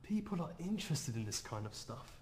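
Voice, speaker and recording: A man acting an uneasy, practical house clearer in a conversational radio drama style. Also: medium pitch, sing-song, fairly quick, natural voice. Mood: matter-of-fact. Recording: echoey, noisy room with clicks.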